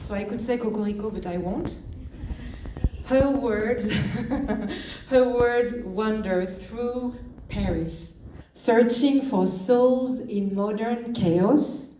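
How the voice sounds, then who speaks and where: A woman emceeing a literature event, formally introducing authors one by one in a measured, deliberate way. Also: a little high, dark tone. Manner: measured and deliberate, pauses between phrases. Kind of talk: formal stage introductions, read aloud. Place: large hall at a literature event. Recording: echoey hall, far from the mic, faint noise.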